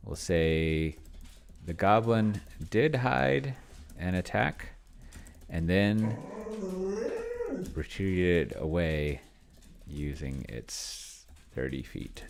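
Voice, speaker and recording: A middle-aged man with a low voice talks casually as he plays a tabletop game on his own. He rambles and halts, half muttering his thoughts aloud, with computer keys clacking as he types. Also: lively intonation, gravelly, slight background noise. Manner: rambling, halting, muttering to himself. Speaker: host of a solo roleplaying video. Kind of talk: casual thinking-aloud commentary while playing a tabletop game. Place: at home, at a computer.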